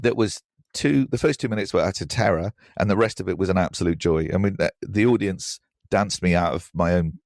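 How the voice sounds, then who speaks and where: A man's voice: sits low, fairly quick, darkish tone, no echo, clean studio sound. A man speaking as an interview guest, telling personal anecdotes in a thoughtful, hesitant, self-deprecating way. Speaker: podcast guest, writer.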